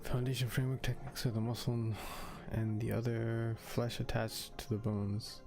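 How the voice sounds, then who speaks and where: A man's voice: medium pitch, flat delivery, moderate pace. A man doing casual let's play commentary on a livestream, sometimes reading along. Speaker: gaming livestreamer. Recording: game music behind the voice.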